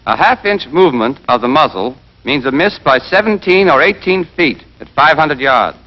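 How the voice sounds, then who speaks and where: A man playing a brisk, exacting American army rifle instructor in a scripted 1940s training film, drilling and quizzing with emphasis. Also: on the high side, animated, close to an everyday voice. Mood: firm, emphatic. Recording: dull band-limited 1940s film soundtrack.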